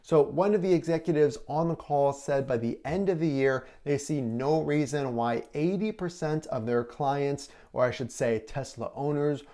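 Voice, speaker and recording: A man's voice: fairly high pitch, moderate pace, hardly any pauses, dark tone. A man hosting a recap video, explaining news to viewers in an emphatic way with rhetorical questions. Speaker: video host and presenter.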